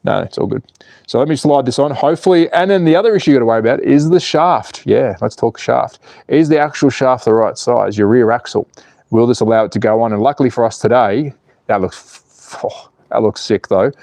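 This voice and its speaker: A man's voice: mid-range voice, brisk, gravelly, a little mellow, no room sound. A man in his forties with an Australian accent, hosting a casual slot car livestream, cheeky and full of swearing while he reads out chat and works on a car. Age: 40s. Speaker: slot car channel host.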